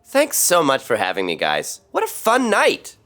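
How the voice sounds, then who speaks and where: A man's voice: a little high, wildly swooping pitch, normal speed, bright, forceful tone, heavily acted. A man playing a breezy, oblivious dinner guest in a sitcom, talking in a casual, offhand way. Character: breezy, clueless male coworker at dinner party. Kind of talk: sitcom acting, conversational.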